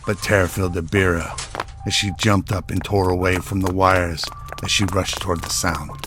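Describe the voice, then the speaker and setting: Low-pitched man narrating a drama. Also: flat delivery, moderate pace, music in the background.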